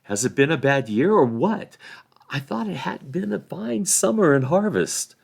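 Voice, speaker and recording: A man's voice: a little high, big pitch swings, unhurried, creaky, slightly rough, voice pushed up, exaggerated voice. A man voicing a bold, indignant young hobbit warrior in a storybook reading. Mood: demanding. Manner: assertive, scolding.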